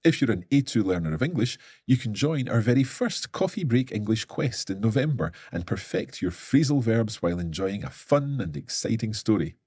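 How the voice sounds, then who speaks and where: A middle-aged man delivers scripted announcements in a bright, clearly enunciated presenter style. His voice sits low and carries a slight husky edge. The sound is close and dry, with no room echo. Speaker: host of a language-learning company event.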